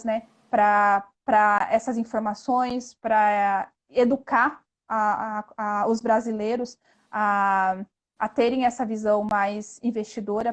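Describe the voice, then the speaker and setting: High-voiced Brazilian woman explaining earnestly. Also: a little mellow. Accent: Brazilian Portuguese. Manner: earnest, explanatory, frequent tag questions. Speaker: interview guest, investment instructor. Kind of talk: conversational interview, explaining.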